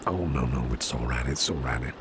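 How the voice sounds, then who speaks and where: A man playing a caring, protective businessman boss in a gentle ASMR roleplay, speaking softly and reassuringly, often breathy and near a whisper. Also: very deep voice, noisy background. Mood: gentle, courteous. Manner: gentle, reassuring, unhurried, repeats phrases softly. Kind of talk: ASMR roleplay, soft personal attention.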